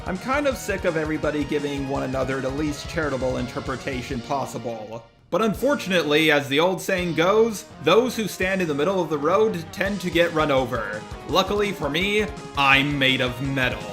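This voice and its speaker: A man's voice: on the high side, expressive, a little fast. A man hosting a video, giving scripted opinion commentary. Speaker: video host. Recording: music behind voice.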